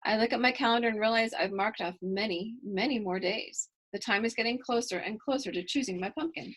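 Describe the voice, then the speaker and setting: A woman's voice: fairly high pitch, a little fast, fairly bright tone. A woman reading a children's story expressively, with chatty asides to young listeners. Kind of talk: expressive picture-book read-aloud with chatty asides to children.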